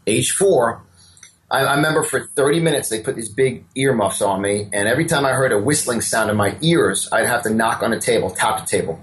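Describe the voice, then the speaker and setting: A man's voice: mid-range voice, a bit bright, rough recording. A man in his fifties with a New York accent, a business coach giving a guest interview in an emphatic, motivational way, repeating words and stammering a little. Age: 50s.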